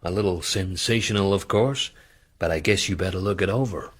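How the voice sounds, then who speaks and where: Husky, low-voiced businessman. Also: fairly quick. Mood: matter-of-fact. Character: blunt American businessman bearing grim news. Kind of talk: radio drama acting.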